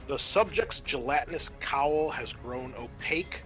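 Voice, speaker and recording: A man with a bright, clear-cut voice runs a tabletop roleplaying game. He describes scenes and explains rulings, pausing as he works them out. Music plays softly behind him. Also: a little high. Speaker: game master.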